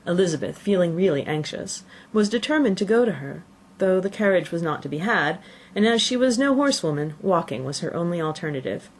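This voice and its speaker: A woman's voice: on the low side, animated, fairly quick. A woman narrating a classic novel as an audiobook, reading from the text.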